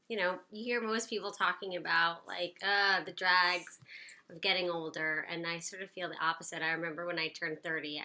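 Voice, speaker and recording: A woman's voice: lowish voice, bright, forceful tone. A woman in her late thirties from the United States, telling her own story in an upbeat, chatty way with plenty of fillers. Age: 30s. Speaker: personal storyteller. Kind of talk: casual personal storytelling to camera.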